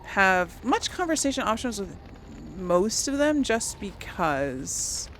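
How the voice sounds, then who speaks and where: A woman's voice: mid-range voice, very animated, slow, fairly bright tone, slight background noise. A woman giving casual gameplay commentary to viewers, rambling and thinking aloud as she goes. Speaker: let's play host.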